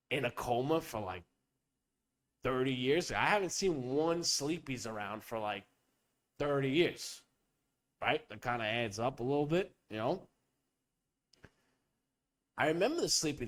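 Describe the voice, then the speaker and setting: A young man hosting a comedic podcast, rambling and riffing with profanity and playful bits. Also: medium pitch. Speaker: podcast host.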